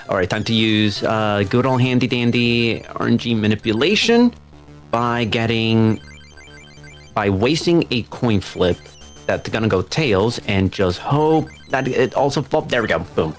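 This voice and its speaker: A man's voice: medium pitch, expressive, measured pace, soft background music. A male speedrunner chatting casually and thinking aloud while he plays. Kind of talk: casual play-by-play while gaming.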